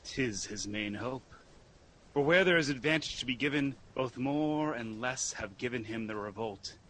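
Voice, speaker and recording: An actor playing a resolute noble army commander in a Shakespearean audio drama, formal and commanding, with faint noise. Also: male voice, mid-range voice, normal speed, bright, forceful tone, lightly acted, low-quality recording.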